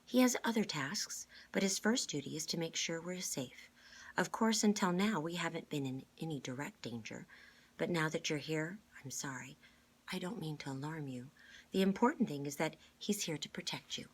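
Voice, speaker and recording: Storybook guardian: reader as a gentle, courteous young woman, slightly husky, measured and reassuring. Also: sits low.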